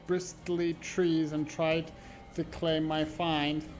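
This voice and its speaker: A man live-streaming a game, reading horror narration aloud dramatically and haltingly, stumbling and correcting himself. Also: fairly high pitch, flat delivery, darkish tone. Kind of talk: live read-aloud of a horror visual novel with asides. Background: background music.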